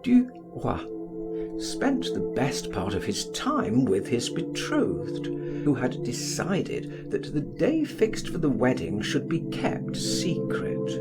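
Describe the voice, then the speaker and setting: A man reading a literary audiobook aloud in a theatrical, deliberate, expressive style. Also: medium pitch. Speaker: audiobook reader.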